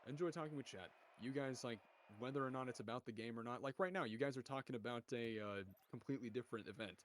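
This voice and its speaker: A young man with a deep, mid-pitched voice rambles casually between calling plays, often restarting his sentences as he reads his stream chat. A steady hiss of noise sits under the home recording. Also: quick pace. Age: young adult. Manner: rambling, chatty, restarts often, bursts louder on goals. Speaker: student esports commentator. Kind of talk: casual solo game commentary and chat reading. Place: home room.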